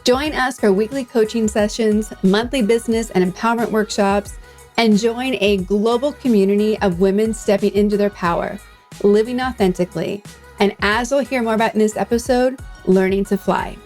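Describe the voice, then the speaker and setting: Female podcast host, medium-pitched voice, introducing and interviewing guests over some music. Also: moderate pace, a little mellow.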